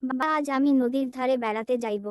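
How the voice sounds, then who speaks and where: Woman doing a sweet earnest little cartoon girl, really high clean voice, dry sound. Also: steady tone, brisk, smooth, no echo, natural voice. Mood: pleading. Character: sweet, friendly young fairy-like girl. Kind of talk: animated cartoon dialogue.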